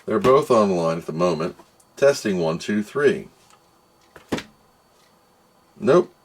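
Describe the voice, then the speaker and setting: A man with a fairly low voice hosts a casual product test. He talks off the cuff, thinking aloud and grumbling as he works things out. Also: animated, normal speed, noisy background. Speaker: host of a product test video. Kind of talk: casual unscripted product review.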